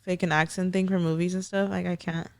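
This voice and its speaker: A woman's voice: fairly low pitch, little pitch movement, fairly quick, gravelly, a little mellow, dry recording, clean studio sound. A young adult woman with a Mexican American accent from Los Angeles, co-hosting a podcast in casual chat and ranting bluntly with lots of swearing. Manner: blunt, profane, exasperated rants. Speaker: podcast co-host.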